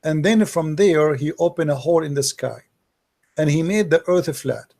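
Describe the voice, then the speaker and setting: Man with a mellow voice, explaining. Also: fairly high pitch, normal speed, creaky. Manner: questioning, explains patiently, reads passages aloud. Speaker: debate host. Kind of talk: live call-in debate, reading texts aloud.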